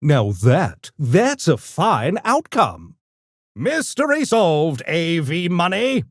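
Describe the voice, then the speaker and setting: An actor voicing a smug, erudite genius gremlin for a video game, with pompous, mock-genteel, theatrical diction that tips into gleeful mania. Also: male voice, on the high side, very animated, slow, no echo, studio quality, exaggerated voice. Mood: smug, taunting. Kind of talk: video game character voice lines.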